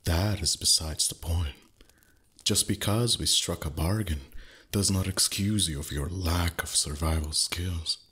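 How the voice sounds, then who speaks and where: Haughty male dragon played by a man for ASMR roleplay: extremely low, slow delivery, condescending and teasing, secretly fond. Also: pushed and bright, full character voice. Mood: scornful, defensive. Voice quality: very deep, husky, breathy. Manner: slow, condescending, flustered tsundere pauses. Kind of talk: intimate roleplay ASMR acting.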